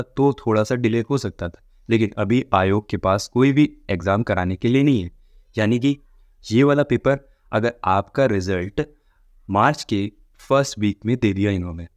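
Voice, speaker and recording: A man's voice: medium pitch, a little mellow, dry recording. A man presenting an informal explanatory update, talking directly to his viewers as he goes through the details. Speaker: exam-update video presenter. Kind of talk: informal explanatory commentary on exam results.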